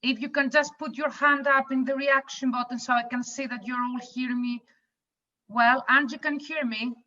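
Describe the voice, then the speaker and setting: A woman with a British accent presenting to an online audience, with a loud, upbeat and direct voice over a video call. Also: high-pitched, steady tone, moderate pace, far from the mic, dull audio. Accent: British English. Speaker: webinar presenter.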